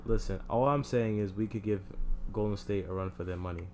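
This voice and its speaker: A young man co-hosting a podcast in casual chat, low-key but firm when he defends his team. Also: a little fast, a little mellow, a little hiss or hum. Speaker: podcast co-host.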